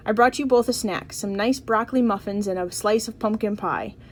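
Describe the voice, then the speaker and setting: A woman voicing a kindly, smiling mother from a children's storybook, gentle and friendly. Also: mid-range voice, brisk, slight background noise, natural voice. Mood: reassuring.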